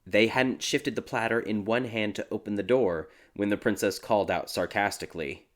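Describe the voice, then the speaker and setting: A man narrating a dark fairy tale audiobook in a dramatic, scripted storytelling style. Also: fairly low pitch, fairly even intonation, normal speed. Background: background music.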